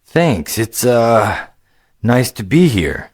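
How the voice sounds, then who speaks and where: A man voicing a cheerful, eager young male gamer hero in a storybook reading, with quick excited exclamations. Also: medium pitch, husky, pitched above the performer's own voice, heavily acted. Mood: friendly.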